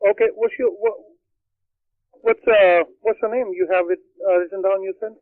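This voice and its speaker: High-voiced, flustered man on a dry phone call. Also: steady tone, moderate pace, no room sound. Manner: flustered, apologetic, repeating himself. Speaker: restaurant employee. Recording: dry telephone line.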